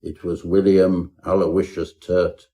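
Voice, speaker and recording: A man reads a parody Victorian ghost story with theatrical, ornate delivery. His voice is fairly deep, with a very soft, dark warmth to it. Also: level tone, slow pace. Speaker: audiobook narrator.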